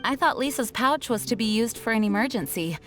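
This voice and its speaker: A dutiful young woman knight, performed by a voice actress in a video game. The voice sits fairly high, with composed, formal phrasing and a protective earnestness. Music is clear behind it. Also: lively intonation, fairly quick, lightly acted. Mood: weary. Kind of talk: animated video game voice acting.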